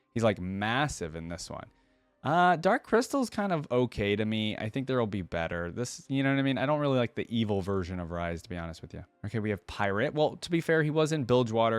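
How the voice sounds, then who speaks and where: Deeper-voiced man doing casual reaction commentary. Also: no echo. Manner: informal, thinking aloud. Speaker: reaction video host.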